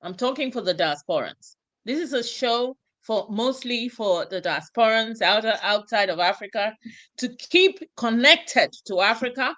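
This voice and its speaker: A woman from Ghana hosting an online discussion show, speaking in a slow, emphatic, exhorting way. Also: on the high side, expressive, bright, forceful tone. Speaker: talk show host.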